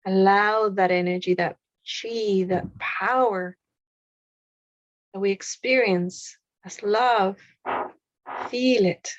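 A woman leading a guided meditation, speaking softly with long pauses between short phrases. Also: mid-range voice, fairly even intonation, dry recording, muffled recording. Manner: slow, soft, with long pauses.

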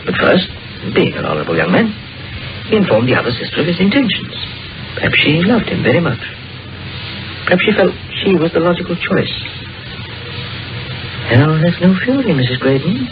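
An actor playing a curious male doctor with a macabre imagination, speaking in a measured, musing way in a 1940s radio drama. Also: on the high side, huge pitch swings. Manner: measured, musing storyteller's delivery. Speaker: Doctor McGregor, an amateur sleuth. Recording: dull old radio broadcast with music.

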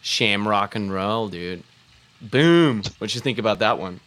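A man's voice: lowish voice, lively intonation, measured pace, fairly bright tone. A man with a mid-range voice banters on a live stream, trading jokes and egging the audience on. He talks loosely, with plenty of fillers and restarts, and plays up his own puns. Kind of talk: casual banter, telling puns.

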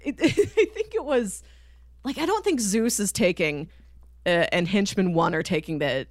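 A woman's voice: medium pitch, animated, measured pace, no room sound, clean studio sound. A woman hosting a podcast, chatting casually about a cartoon series. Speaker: podcast host.

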